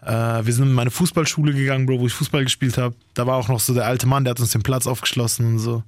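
A man's voice: medium pitch, little pitch movement, fast, dry recording, clean studio sound. A man, a rapper raised in Germany with Italian parents, telling stories in a casual chat, rambling and full of slang like "Bro".

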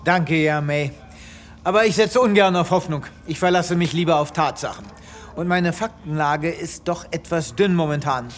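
An actor playing a self-important, restless space veterinarian with a theatrical manner in a comedic sci-fi audio drama. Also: male voice, fairly high pitch, moderate pace, faint music behind, lightly acted. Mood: cool, assured. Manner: pompous, theatrical.

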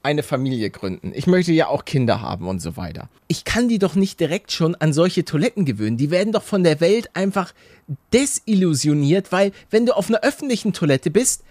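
A man's voice: a little high, sing-song, fairly quick, a little mellow, no echo. A man in his forties co-hosting a podcast, joking casually with many restarts and laughs. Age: 40s. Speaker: podcast co-host.

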